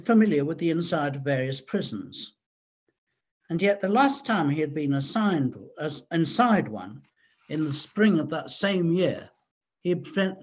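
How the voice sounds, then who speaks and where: Male narrator for scripted history, voice a touch high and rough-edged, measured storyteller's delivery.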